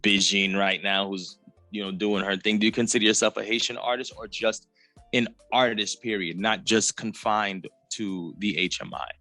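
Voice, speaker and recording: A man's voice: steady tone, normal speed, bright, forceful tone, no room sound. A man hosting a music podcast interview, chatting casually in a laid-back way with plenty of slang. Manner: laid-back slang, swings pitch for quoted reactions. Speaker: podcast host.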